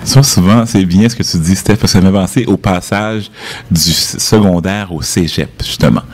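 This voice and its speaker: A man's voice: low-pitched, moderate pace. A man with a Québécois French accent hosting a show, chatting casually with a guest. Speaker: show host. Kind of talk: casual interview chat.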